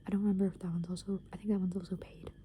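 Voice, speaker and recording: A young woman whispering close to the microphone, rambling softly and casually with plenty of "like" and "um" as she talks. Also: medium pitch, level tone, racing, slight background noise. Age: young adult. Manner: soft unvoiced whisper, rambling with many fillers. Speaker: ASMR creator. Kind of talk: whispered ASMR soft rambling.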